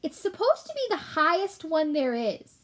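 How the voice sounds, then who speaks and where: A woman's voice: very high-pitched, sing-song, moderate pace, fairly bright tone, voice pushed up, exaggerated voice. A woman voicing a blunt, impatient young brownie girl in a storybook read aloud. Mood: hesitant.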